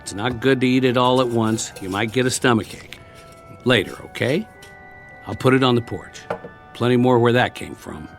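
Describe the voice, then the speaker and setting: An actor playing a weary, wry American ex-soldier, plain-spoken and coaxing, in a radio drama. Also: male voice, medium pitch, moderate pace, faint music behind, lightly acted. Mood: coaxing, gentle. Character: weary, wry male ex-soldier surviving after war.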